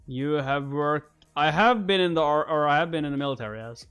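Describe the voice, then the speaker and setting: A man's voice: a little high, fairly quick, a bit bright, smooth. A young man reading a comic aloud and reacting casually on a live stream. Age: young adult. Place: indoors, at a streaming setup. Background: music.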